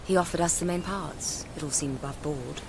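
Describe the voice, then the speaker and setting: A woman's voice: low voice, fairly quick, vocal fry, noisy background. An actress playing an articulate young Englishwoman, educated, confiding and lightly teasing, in naturalistic radio drama dialogue.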